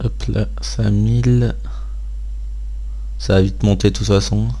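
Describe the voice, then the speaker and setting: A man's voice: fairly low pitch, fairly even intonation, slow, mellow. A man hosting a gaming video, giving casual gameplay commentary and thinking aloud in an informal way.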